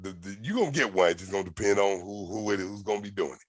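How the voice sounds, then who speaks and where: A man hosting a podcast, telling stories in a loose, animated way, with slang and swearing. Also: fairly low pitch, rapid-fire, crowd noise. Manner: animated, profane storytelling with slang. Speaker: podcast host. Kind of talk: casual podcast conversation.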